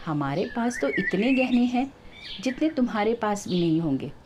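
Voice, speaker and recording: A woman's voice: a little high, big pitch swings, moderate pace, noisy background. A woman voicing a cunning, brazen swindler woman in a told story, with an insistent, theatrical manner. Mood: scornful denial. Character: cunning brazen swindler woman, pleading then scornfully denying.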